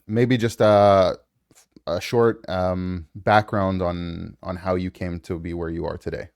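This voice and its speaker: Low-voiced hesitant male interview host. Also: flat delivery, slow pace, gravelly, darkish tone, smooth, dry recording, studio quality. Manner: hesitant, filler-heavy. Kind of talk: casual interview.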